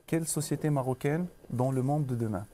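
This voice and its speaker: Un homme d'âge moyen, originaire du Maroc, directeur d'école en entretien, parle de façon posée avec beaucoup d'hésitations. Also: male voice, mid-range voice, dark tone, a little hiss or hum. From Morocco. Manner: measured, many pauses and restarts. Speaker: school director. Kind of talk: interview.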